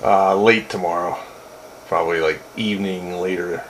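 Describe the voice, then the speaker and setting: A man's voice: low voice, fairly even intonation, moderate pace. A man making casual, wry and folksy conversation over two-way radio. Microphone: radio desk mic. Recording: noisy, staticky two-way radio transmission.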